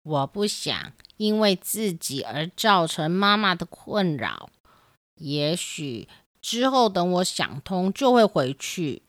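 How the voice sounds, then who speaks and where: A woman's voice: lowish voice, very animated, takes it slowly, dry recording, studio quality. A woman voicing a sad, self-doubting young duckling in a children's storybook radio play, speaking earnestly. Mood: dejected. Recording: music behind the voice.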